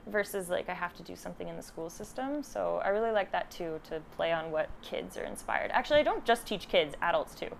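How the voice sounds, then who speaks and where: A woman's voice: lowish voice, animated, brisk, vocal fry, hardly any pauses, slight background noise, low-quality recording. A woman of thirty with a Canadian accent, chatting informally and giggly as an interview guest. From Ontario, Canada. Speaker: guest, artist and art teacher. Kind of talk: casual interview chat.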